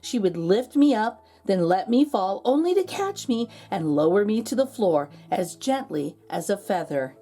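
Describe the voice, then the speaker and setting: A woman narrating a children's storybook aloud as a read-aloud host, expressive and drawing out key words. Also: mid-range voice, moderate pace, few pauses, soft background music.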